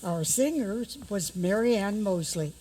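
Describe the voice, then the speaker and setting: Slow-paced woman announcer. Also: medium pitch, lively intonation, mellow, a little hiss or hum, rough recording. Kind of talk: scripted theater announcement.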